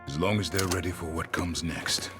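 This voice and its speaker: An actor playing a stoic, dry warrior hero in a game, terse and measured. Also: male voice, deep voice, over music, lightly acted. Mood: stern. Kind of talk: video game cutscene acting.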